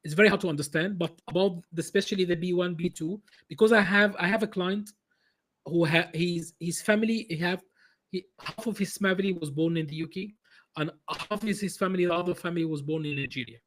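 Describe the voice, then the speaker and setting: A man answering interview questions in a halting way, with many restarts and repeated words. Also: high-pitched, a bit bright. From Egypt. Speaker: business consultant guest.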